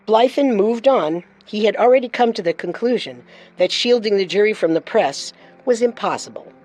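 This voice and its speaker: A woman's voice: mid-range voice, expressive, moderate pace, darkish tone. A woman narrating a scripted true-crime story as host.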